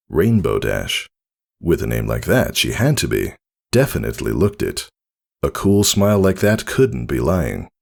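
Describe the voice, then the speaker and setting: A man's voice: a bass voice, gravelly. A man reading a scripted story aloud as narrator, in a dramatic audiobook style.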